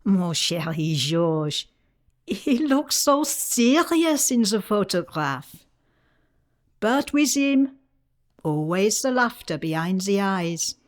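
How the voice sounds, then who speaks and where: A woman playing a tender, dignified French widow of the 1890s in a radio play monologue, speaking with a French accent in a measured, wistful way. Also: mid-range voice, big pitch swings. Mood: fond, wistful.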